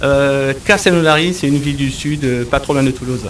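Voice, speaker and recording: Male guest from Congo, middle-aged, halting delivery full of fillers, a bit off-mic with faint rumble behind. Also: recorded from a distance. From Republic of the Congo. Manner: hesitant, many fillers and repeated words. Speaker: enterprise architect, guest. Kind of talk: interview answers.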